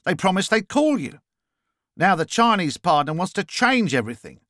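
A man's voice: high-pitched, very animated, normal speed, a bit bright, no room sound, studio quality, pitched above the performer's own voice. A man voicing a brash, impatient Australian businesswoman in an audiobook, abrupt and exasperated. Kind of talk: audiobook character dialogue.

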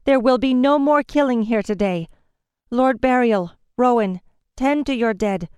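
Woman voicing a forceful fae queen, raised pitch, loud, commanding, storybook reading. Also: a little slow, clean tone, dry recording, lightly acted. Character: strong-willed young fae queen, commanding.

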